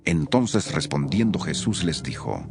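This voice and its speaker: A man's voice: rumbling low, big pitch swings, unhurried, gravelly, over music. A man narrating scripture from a script as the storyteller of an audio production.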